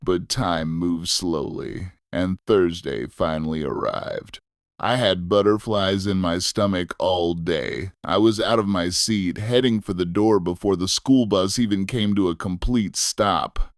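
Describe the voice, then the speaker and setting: A man reading a scripted, nostalgic first-person story as a narrator, in a measured, reflective way. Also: sits low, no room sound, studio quality.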